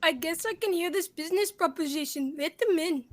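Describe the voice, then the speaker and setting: A woman's voice: very high-pitched, fairly quick. A woman playing an impatient, grumpy pharaoh in a radio play, curt and imperious. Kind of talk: student radio theatre acting.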